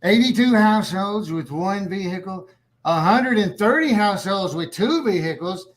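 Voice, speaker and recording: A man's voice: high voice, animated, normal speed. Man reading as a genial small-town township official. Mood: genial, amused. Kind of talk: table read of a small-town comedy series.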